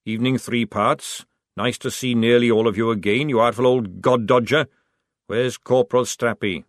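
A man reading an audiobook, voicing a gruff veteran army sergeant who barks his orders loudly. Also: medium pitch, fairly even intonation, moderate pace, dry recording, clean studio sound, lightly acted. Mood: barking.

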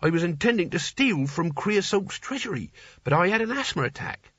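An eager young would-be hero from a comic fantasy audiobook, acted out by a man. His voice sits high and moves about animatedly. He is polite, earnest and a touch tentative, with a husky edge. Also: sing-song, moderate pace, a bit bright, no echo, muffled recording, exaggerated voice. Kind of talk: audiobook character dialogue.

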